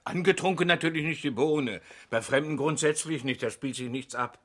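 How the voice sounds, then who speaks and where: Publican, male actor, radio crime play: forceful bright voice, righteous, emphatic, faint background noise. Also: fairly high pitch, normal speed, natural voice. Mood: defensive. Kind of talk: 1970s radio crime drama acting.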